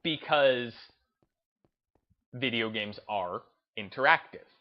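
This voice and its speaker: Guy doing slow off the cuff gaming opinion talk, choppy emphatic phrasing, music behind him, bit lo-fi. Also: mid-range voice, expressive. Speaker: gaming video commentator.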